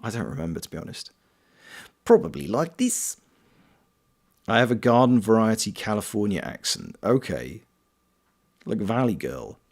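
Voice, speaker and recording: A man's voice: on the low side, very animated, normal speed, long pauses, darkish tone. A British man streaming a game, chatting casually and reading viewers' comments aloud, greeting them by name. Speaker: streamer and voice actor.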